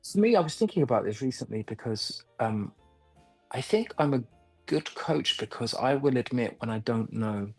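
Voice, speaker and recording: A man from London, an acting coach being interviewed, thoughtful and quick to laugh. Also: mid-range voice, expressive, slightly rough, no room sound, soft background music. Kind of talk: casual interview.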